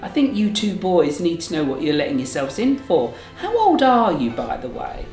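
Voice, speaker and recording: A man voicing a camp, kindly English cabaret entertainer in his fifties for an audiobook, with crisp, theatrical, teasing delivery. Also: fairly high pitch, very animated, normal speed, a little mellow, voice pushed up. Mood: knowing, indulgent. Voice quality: crisp, well presented. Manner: arch, teasing, theatrical, delicate. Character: camp, kindly, worldly fifty-ish male cabaret entertainer. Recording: roomy echo, faint music behind.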